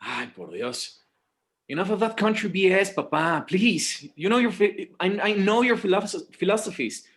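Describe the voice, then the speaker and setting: A man's voice: high-pitched, big pitch swings, moderate pace, a bit bright, exaggerated voice. A man acting as an exasperated but dutiful forty-year-old son, hesitant and weary, in a naturalistic table read. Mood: weary, pleading.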